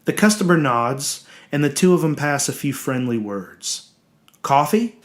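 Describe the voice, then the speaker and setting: A man reading a horror story as dramatic, scripted narration, deliberate and suspenseful, dwelling on his words. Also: on the high side, lively intonation.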